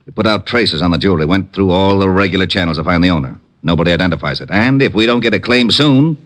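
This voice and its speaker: An actor playing an earnest, beleaguered homicide lieutenant in old-time radio drama, gruff and plain-spoken. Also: male voice, low voice, animated, fast, fairly bright tone.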